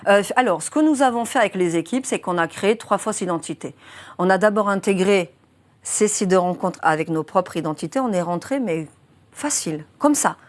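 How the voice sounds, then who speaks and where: A woman's voice: medium pitch, lively intonation, brisk. A woman in her twenties, a journalist being interviewed, who explains a criminal case earnestly, chooses her words with care and uses many fillers. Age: 20s. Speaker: journalist guest.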